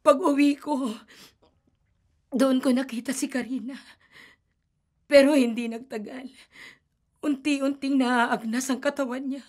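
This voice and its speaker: A high-pitched woman's voice, an anguished mother pleading and confessing in an emotional rush. It is a studio radio drama performance. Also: fairly even intonation, measured pace, pauses often. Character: desperate grieving mother.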